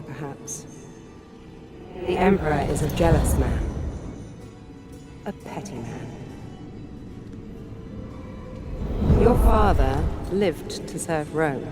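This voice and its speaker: A mysterious spirit guide in a cinematic game. Her voice is low, grave and knowing, each phrase delivered with deliberate, portentous drama. Music swells clearly behind her. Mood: knowing, scornful. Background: loud dramatic music.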